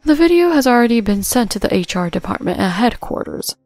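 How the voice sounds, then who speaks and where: A stern older woman from an audio story, as a woman narrator plays her. She is firm and authoritative, creaky, and sounds dry over music. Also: fairly high pitch, sing-song, a little fast, darkish tone, no echo. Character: stern, commanding older woman, calm store worker.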